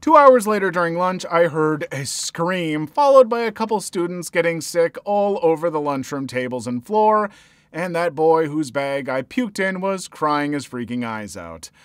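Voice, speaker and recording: A man with a fairly high voice reads listener stories and chats about them like a podcast host. His delivery swings between loud, lively bursts and quiet, hushed asides. The sound is dry and close, with no room around it. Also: measured pace, clear, resonant tone, no echo. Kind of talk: story reading with commentary.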